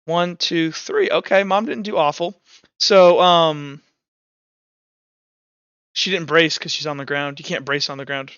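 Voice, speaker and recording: A man's voice: on the high side, steady tone, measured pace, pauses often, fairly bright tone, smooth, dry recording, dull audio. A man acting as game master, mixing casual rules talk with informal, vivid narration of combat in a tabletop roleplaying game.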